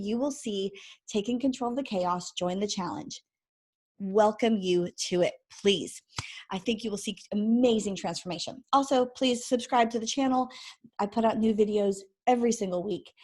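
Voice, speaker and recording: A woman in her forties with a croaky, hoarse voice, hosting a chatty faith-based parenting vlog, laughing often and drifting into tangents. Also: medium pitch, dark tone. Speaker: vlog host and ministry founder. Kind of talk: casual faith-based parenting talk to camera. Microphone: microphone she sometimes bumps.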